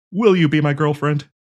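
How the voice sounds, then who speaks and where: A man's voice: fairly high pitch, a little mellow. A man playing a nervous, awkward young man shyly confessing love to a friend in an audio roleplay, stammering and hesitant. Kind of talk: intimate audio roleplay acting.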